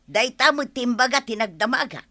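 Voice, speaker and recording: Actress as a stubborn, animated woman, bright and high. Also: moderate pace, full character voice. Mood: hopeful. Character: stubborn, hopeful woman believing her mole brings money. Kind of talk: Filipino audio drama acting.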